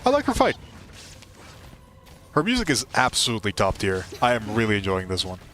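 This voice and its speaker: Lowish-voiced young man streaming a game. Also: huge pitch swings, a little fast, a bit bright. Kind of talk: casual live gameplay commentary. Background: game music.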